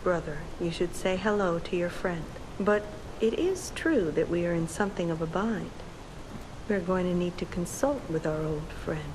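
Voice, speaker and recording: A smooth-talking trickster woman from a video game, played by an actress, speaks in florid, deliberate phrases. Her voice is slightly low and theatrical, with a clear rumbling noise behind it. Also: lively intonation, close to an everyday voice. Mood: sly, courteous. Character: smooth-talking, theatrical trickster older sister, flattering and pleading. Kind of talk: scripted game drama.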